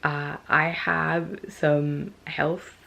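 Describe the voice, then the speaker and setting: A woman's voice: deep voice, flat delivery, very slow, gravelly, bright, forceful tone. A woman vlogging casually to her camera, stuffy and losing her voice, rambling with many ums and restarts. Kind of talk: casual talking-to-camera vlog.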